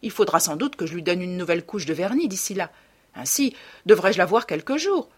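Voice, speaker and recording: Woman reading a courteous, earnest painter, husky voice. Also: fairly low pitch, lively intonation, brisk. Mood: taken aback. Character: devoted male painter, affable, earnest, wounded friend.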